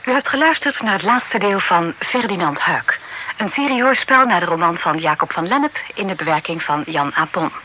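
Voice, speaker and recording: A woman announcer reads the closing credits at a quick, businesslike clip. Her voice is bright and lively in pitch. The sound is slightly distant, with a faint hiss of an old radio broadcast. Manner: brisk closing credits reading.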